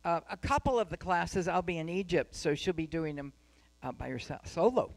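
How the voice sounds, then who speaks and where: A woman's voice: on the low side, normal speed. Woman making announcements to a congregation. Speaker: congregation leader giving announcements. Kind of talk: live spoken announcements to a congregation. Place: speaking in a meeting room with a microphone.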